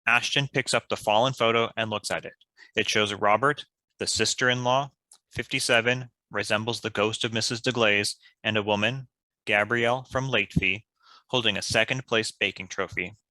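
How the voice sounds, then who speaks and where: A man with a lowish, very bright and forceful voice narrates stage directions from a script. The sound is close and dry. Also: steady tone, moderate pace, no echo, rough recording.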